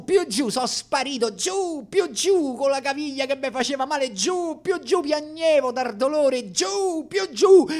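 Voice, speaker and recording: High-voiced Roman comic storyteller. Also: male voice. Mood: frantic. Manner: dramatic, repetitive, self-mocking comic storytelling. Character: fifteen-year-old male aspiring Roman comic actor, plaintive, self-mocking. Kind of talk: theatrical storytelling monologue in a radio play.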